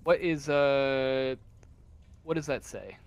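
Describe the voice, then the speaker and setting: Male game master, mid-range voice, unhurried and halting, improvising scene narration casually at the table. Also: mid-range voice, clear, resonant tone, dull audio. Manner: halting, searching for words, casual.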